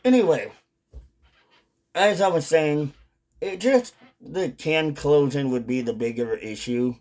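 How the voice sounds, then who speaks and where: A man giving casual, rambling commentary to viewers, with drawn-out phrasing, pauses and some casual swearing. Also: on the high side, darkish tone. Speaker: video host, hobby commentator.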